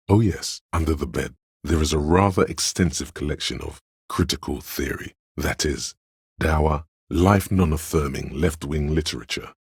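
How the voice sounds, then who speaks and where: A man playing a cerebral, pretentious inner voice of artistic imagination in a game, narrating theatrically and deliberately in a gravelly, husky voice. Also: extremely low pitch, no room sound, clean studio sound, full character voice. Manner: pompous, theatrical, deliberate diction. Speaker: game voice actor. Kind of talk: dramatic scripted game narration.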